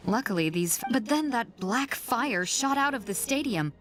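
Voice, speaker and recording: Woman as a gentle, shaken survivor, higher-pitched. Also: animated, moderate pace, lightly acted. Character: gentle, shaken female survivor soothing a child. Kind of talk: video game character acting. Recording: loud game music behind. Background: game music.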